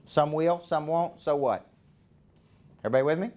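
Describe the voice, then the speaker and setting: Southern guy business coach working a live room, kinda high voice, punchy, keeps asking everybody with me. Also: normal speed, darkish tone. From American South. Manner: punchy, keeps checking in with the crowd. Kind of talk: live seminar talk to a room. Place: event room with a live audience.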